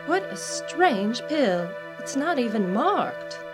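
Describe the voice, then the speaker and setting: An actress playing a concerned adult daughter in an audio drama, soothing and gently persuasive. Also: female voice, a little high, big pitch swings, slow pace, music in the background, low-quality recording. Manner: soothing, coaxing. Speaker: drama actor.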